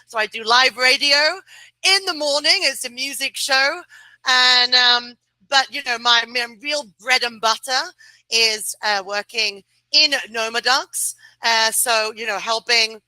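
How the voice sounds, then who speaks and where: A woman speaking as a guest on a video call, chatty and quick to laugh, with frequent uhs. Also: fairly high pitch, sharp tone, no room sound, poor audio. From United Kingdom. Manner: chatty, laughs easily, fillers. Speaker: guest, client relations director. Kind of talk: casual interview chat.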